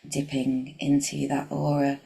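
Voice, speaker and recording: A woman guides a meditation very slowly, leaving long pauses between short phrases. Her pitch stays on the low side and quite level, with a gravelly fry in it. The delivery is soft and soothing, sometimes thinning toward a breathy whisper. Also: completely flat delivery, poor audio.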